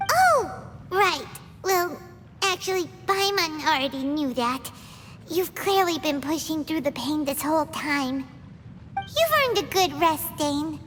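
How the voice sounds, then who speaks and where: Tiny excitable fairy sidekick, very squeaky, talks about herself in third person; reverberant mix over game score. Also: female voice, slow pace, a little hiss or hum, exaggerated voice. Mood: sympathetic. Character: tiny floating female fairy companion, chirpy and excitable. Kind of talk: video game cutscene voice acting.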